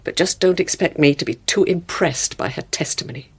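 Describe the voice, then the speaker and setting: An actress playing a pointed, sarcastic, sceptical middle-aged wife in a naturalistic radio drama. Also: female voice, sits low, brisk, slightly rough. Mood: bitter.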